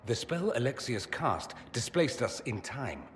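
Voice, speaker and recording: An actor playing a clever, urbane mage in a video game, with a dry, droll and impatient manner. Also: male voice, mid-range voice, very animated, unhurried. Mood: explanatory. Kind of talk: dramatic video game cutscene acting. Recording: faint background noise.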